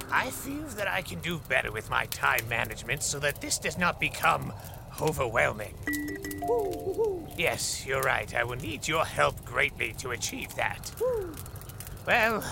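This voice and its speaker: An actor playing a fussy, flustered lore master in a storybook audio drama, muttering theatrically to a pet owl. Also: male voice, fairly high pitch, creaky, exaggerated voice. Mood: conceding. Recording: music under the voice.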